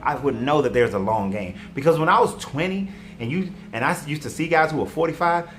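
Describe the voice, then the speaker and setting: A man's voice: on the high side, animated, a little fast, faint music behind. A man in his forties giving an emphatic, preachy motivational monologue, talking straight to his viewers. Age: 40s. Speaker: online commentator and life coach.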